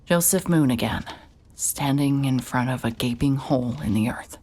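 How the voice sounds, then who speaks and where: Very low-voiced woman narrating horror. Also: moderate pace. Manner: tense, breathless, with sudden shouts and hushed whispers. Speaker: narrator and lead character. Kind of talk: first-person horror audio drama narration.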